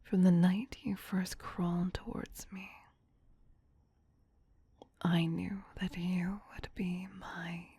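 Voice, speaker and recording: A tender vampire queen, acted by a woman, whispers in an intimate ASMR roleplay. The voice is very breathy and fairly low, staying soft and even in its melody. The manner is doting, possessive and soothing. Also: slow, pauses often, no echo, full character voice.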